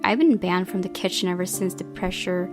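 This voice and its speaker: A woman reading a visual novel aloud as its player, in expressive storytelling narration. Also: lowish voice, fairly quick, music in the background.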